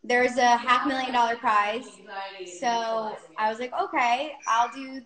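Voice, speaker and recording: A woman of thirty answers questions in a casual live chat. Her voice sits on the high side and is picked up with a strong roomy echo. She is candid and informal, with an occasional curse. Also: fairly even intonation, a little slow, a crowd in the background. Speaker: interview guest, reality show contestant.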